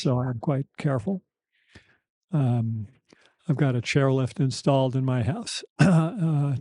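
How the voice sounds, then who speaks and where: Mature male podcast host, mellow soft voice, slightly weak and lisping, halting candid delivery, dry close sound. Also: medium pitch, dry recording. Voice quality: slight lisp, thick-tongued articulation. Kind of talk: reflective personal conversation.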